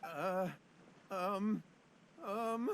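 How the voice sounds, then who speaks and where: A man's voice: sits high, very slow, slight background noise, exaggerated voice. An actor playing a frightened, evasive young village man in a video game, with a stammering, pleading manner. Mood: nervous, evasive. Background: game music.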